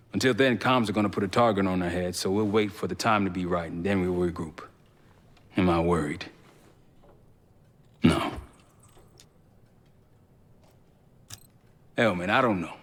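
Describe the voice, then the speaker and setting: An actor playing a steady, pragmatic intelligence operative in a video game, an American man talking frankly and colloquially. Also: fairly low pitch, close to an everyday voice. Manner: laid-back, frank, colloquial. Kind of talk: video game dialogue acting. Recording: long reverberant room echo.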